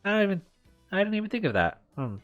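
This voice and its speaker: A man reading every part of a visual novel aloud, his voice nasal and stuffed-up from a clogged nose. His pitch sits in the middle but moves a great deal as he reads. Between passages he drops into chatty, apologetic asides. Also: very animated, fast talker, a bit bright, no room sound, highs cut off, soft background music. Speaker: game streamer reading all roles.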